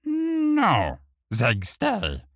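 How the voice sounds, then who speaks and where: A man's voice: sits high, wildly swooping pitch, extremely slow, a bit bright, no echo, studio quality, voice pushed up, full character voice. A man voicing a tiny, cheeky, stubborn imp in an audiobook, grumbling in broken, childlike grammar. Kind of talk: audiobook character voice.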